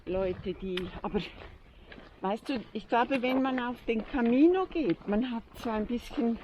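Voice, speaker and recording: A woman from Switzerland, chatting quietly outdoors and agreeing in short replies. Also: fairly high pitch, unhurried, dull audio, slight background noise. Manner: soft-spoken, agreeable, brief replies. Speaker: fellow pilgrim, interviewee. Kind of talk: casual conversation. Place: outdoors on a pilgrimage trail.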